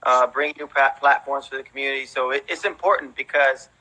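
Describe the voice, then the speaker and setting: A man's voice: mid-range voice, fairly even intonation, brisk, sharp tone, rough recording. A man in his thirties with an American accent, telling stories casually as an interview guest, with an informal way of talking full of conversational tags. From raised in Houston, United States, born in Mexico. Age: 30s. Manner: informal, peppers talk with "you know" and "man". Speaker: interview guest, veteran breakdancer.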